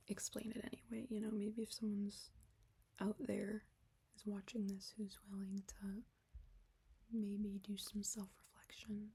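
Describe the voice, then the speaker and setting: A young adult woman with a breathy, whispery voice, reading her own reflective writing aloud to viewers in a subdued monotone. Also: medium pitch, a little hiss or hum. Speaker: vlogger.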